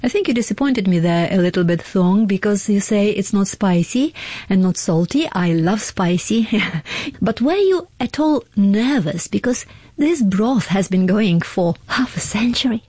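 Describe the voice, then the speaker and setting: A woman's voice: mid-range voice, animated, a little slow, mellow, breathy edge, dry recording. A woman hosting a radio magazine programme, presenting scripted introductions and interview questions.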